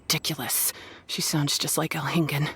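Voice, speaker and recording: A woman's voice: sits low, little pitch movement, moderate pace, fairly bright tone, a little hiss or hum. A woman playing a blunt, aloof young beast tamer in a video game, curt and cool. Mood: dismissive. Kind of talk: video game character acting.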